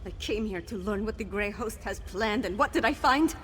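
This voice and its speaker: A woman's voice: medium pitch, animated, moderate pace, lightly acted. An actress playing a fierce, determined young noblewoman rebel in a fantasy video game, impassioned and earnest. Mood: angry, urgent. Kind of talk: dramatic scripted video game dialogue. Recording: long reverb, game music behind.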